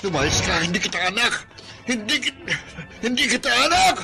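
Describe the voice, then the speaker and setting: A man's voice: very high-pitched, sing-song, moderate pace, gravelly, exaggerated voice. A Filipino man playing a cruel, sickly father in a radio drama, harsh and threatening as he rejects his son. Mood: furious, rejecting. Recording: loud music under the voice.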